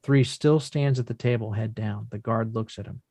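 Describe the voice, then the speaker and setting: A man narrating scripted screen action in measured, deliberate short phrases as the reader of stage directions. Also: on the low side, dark tone, no echo.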